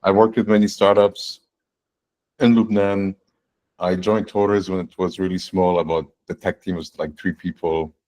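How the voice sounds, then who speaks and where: A man in his forties, a guest on a video-call fireside chat, explaining his work in a relaxed way with frequent restarts and "right?". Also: low-pitched, normal speed. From born in the United States, raised in Saudi Arabia and Canada. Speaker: guest, fractional CTO.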